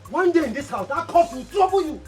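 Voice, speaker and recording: A woman's voice: medium pitch, very animated, fast talker, darkish tone, roomy sound, lightly acted. An actress playing a woman who mocks an occult man in a taunting, singsong, repetitive way. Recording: music playing underneath.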